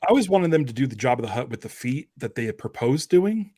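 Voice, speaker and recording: A man's voice: medium pitch, big pitch swings, quick pace, darkish tone, no echo. A man chatting casually as a podcast host. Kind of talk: casual chat.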